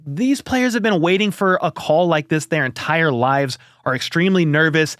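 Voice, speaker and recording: A man's voice: a little high, a bit bright, no echo, studio quality. A man hosting a football news show, giving conversational, opinionated commentary in a casual style.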